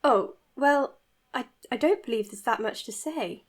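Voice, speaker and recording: An earnest woman doctor in an audio drama podcast. Her voice sits a little high. She speaks formally, with a hesitant, self-conscious edge. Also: lively intonation, a little slow. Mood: deferential, curious. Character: earnest, slightly awkward woman doctor recording podcast updates.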